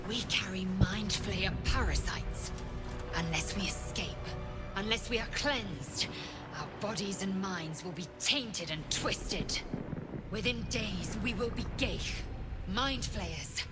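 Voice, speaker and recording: A woman's voice: medium pitch, slow pace, over loud music, close to an everyday voice. A woman playing a fierce, imperious alien warrior in a video game, with a severe, commanding manner. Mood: stern resolve. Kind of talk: video game character acting.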